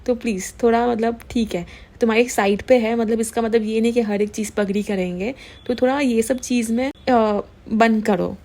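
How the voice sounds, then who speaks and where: A woman's voice: a little high, fairly even intonation, moderate pace, few pauses, a little mellow. A young woman hosting a gossip commentary show, chatting casually and switching freely between Hindi and English.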